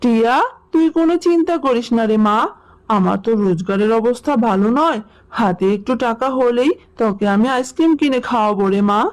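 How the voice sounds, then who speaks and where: An actor voicing a gentle, poor animal father in a fable cartoon, speaking soothingly. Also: very high-pitched, animated, fairly quick. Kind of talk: children's cartoon voice acting.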